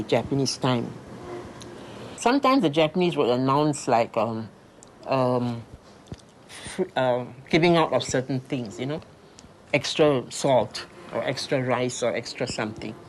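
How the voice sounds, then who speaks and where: A man's voice: mid-range voice, animated, unhurried, slight background noise, poor audio. A man in his seventies from Singapore, hesitantly recalling his childhood in a documentary interview. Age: 70s. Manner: hesitant, with restarts.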